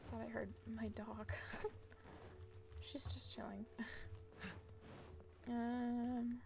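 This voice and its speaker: A woman's voice: a little high, flat delivery, extremely slow, vocal fry, music in the background. A woman streaming a video game with casual live commentary and playful, sarcastic asides.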